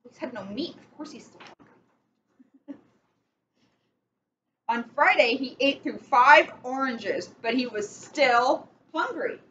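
A young woman reads a picture book aloud for children, dropping in casual asides. Her voice sits fairly high and moves around a lot, with emphatic delivery. The webcam recording is somewhat muffled. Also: expressive, slow pace, long pauses, fairly bright tone, slight background noise. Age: young adult. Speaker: police officer reading a picture book. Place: indoors in a catering cafe. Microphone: webcam a short distance away.

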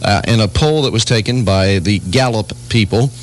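A man's voice: on the low side, normal speed. Man hosting an AM morning radio show. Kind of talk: radio DJ banter and chat. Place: radio studio. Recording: 1980s AM radio aircheck.

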